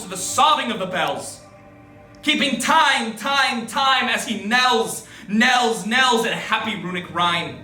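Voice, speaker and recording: A man's voice: high voice, sharp tone. A man giving a dramatic, incantatory poetry recitation, drawing out repeated words theatrically. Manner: theatrical, incantatory, drawn-out repetitions. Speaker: poetry performer. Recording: roomy echoing voice over faint music.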